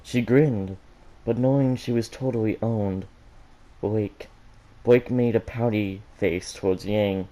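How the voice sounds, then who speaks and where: A man reading fan fiction aloud as an amateur, halting and stumbling over words, with joking asides. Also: fairly low pitch, fairly even intonation, dark tone, low-quality recording. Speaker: reader of fan fiction for an online audience.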